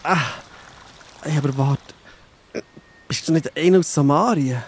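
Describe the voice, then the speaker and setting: High-pitched wounded traveller, Swiss German. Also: male voice, husky. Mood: pained, groaning. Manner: halting, groaning, stammering. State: in pain. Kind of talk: children's audio drama.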